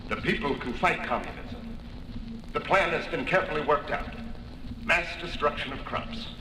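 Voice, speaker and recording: An actor playing an earnest, forceful farm movement politician in a 1950s radio drama, declaiming deliberately. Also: male voice, a little high, noisy background, natural voice. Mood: fervent. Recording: echoey, distant old 1950s radio recording.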